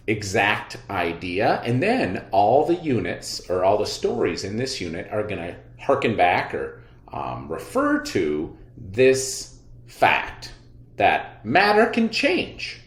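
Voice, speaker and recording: Male schoolteacher for kids' read-aloud lesson. Very lively intonation, expressive delivery, echoey room sound. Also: on the low side, takes it slowly. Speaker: elementary teacher.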